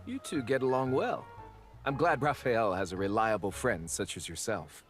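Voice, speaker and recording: A man's voice: lowish voice, expressive, moderate pace, over music, natural voice. A man voicing a friendly, courteous gallery manager in a video game, polite and affable.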